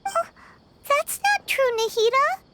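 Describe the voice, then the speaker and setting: A woman voicing a bubbly, excitable little fairy companion in a video game, with a squeaky childlike voice and animated delivery. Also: takes it slowly, exaggerated voice. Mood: encouraging. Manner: exclamatory, animated.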